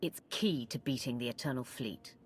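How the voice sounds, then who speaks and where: An actor playing a calm, reserved warrior leading a coalition. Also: male voice, mid-range voice, normal speed, faint music behind, lightly acted. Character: steady male warrior leader of a coalition.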